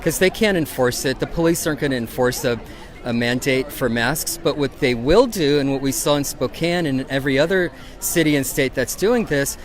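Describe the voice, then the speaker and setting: A middle-aged man with a quiet voice talking outdoors at a rally on a handheld mic. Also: a little high. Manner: quiet, agreeable. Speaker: protester. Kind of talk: casual chat at a protest. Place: outdoor parking lot at a rally. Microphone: handheld clip mic.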